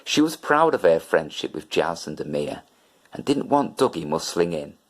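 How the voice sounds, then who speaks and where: A man reads a children's story in a very deep voice. His intonation moves up and down a great deal, giving the narration an animated shape. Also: moderate pace. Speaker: audiobook reader.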